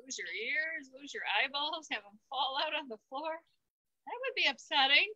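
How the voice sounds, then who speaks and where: A woman's voice: sits high, lively intonation, normal speed, sharp tone, lo-fi sound. A woman making casual, exclaiming comments in reaction to a children's story. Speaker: story-time co-host reacting to the reading.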